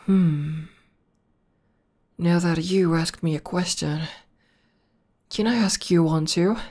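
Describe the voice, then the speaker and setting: Casting: woman voicing tomboy demon girlfriend, ASMR roleplay. Deep-set, relaxed tone, slow intimate delivery, fond and playful. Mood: tender, playful. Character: buff tomboy demoness girlfriend, affectionate and teasing. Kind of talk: ASMR roleplay audio spoken to the listener.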